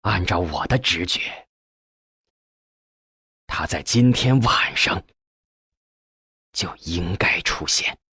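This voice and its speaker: A man voicing a weary, stubbornly convinced older professor in an audiobook, speaking slowly in a hushed mutter. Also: sits low, animated, slightly rough, dry recording, clean studio sound, pitched below the performer's own voice, heavily acted. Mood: intent. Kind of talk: audiobook character dialogue.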